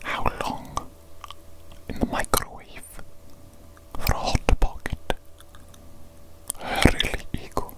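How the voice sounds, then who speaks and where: A woman's voice: slow, long pauses, lightly acted. A woman whispering an ASMR roleplay as a pompous, condescending evil doctor, close to the microphone. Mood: exasperated. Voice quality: whispering throughout. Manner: haughty, self-important, easily exasperated.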